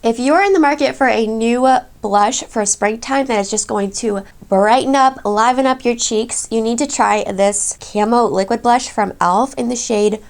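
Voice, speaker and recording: A woman's voice: a little high, moderate pace, few pauses. A woman in her thirties, a beauty vlogger, chatting through a makeup tutorial in a gushing, chatty way, a little congested from a cold. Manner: gushing, chatty product reviews. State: slightly congested.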